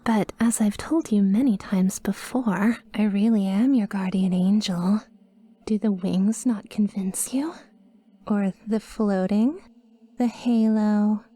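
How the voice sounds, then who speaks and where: Woman acting as devoted guardian angel, sweet and possessive, breathy near-whisper, slow flowery delivery, ASMR roleplay, dry close recording. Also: medium pitch, no room sound. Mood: gently teasing. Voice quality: soft, breathy, often dropping to a whisper. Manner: formal, flowery, slow and adoring. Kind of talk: roleplay ASMR, scripted monologue.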